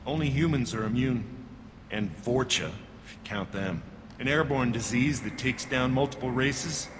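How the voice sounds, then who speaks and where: An actor playing a gruff, cynical alien checkpoint guard in a game, talking in a dry, curt and dismissive way. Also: male voice, medium pitch, normal speed, close to an everyday voice. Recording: reverberant, with loud background noise.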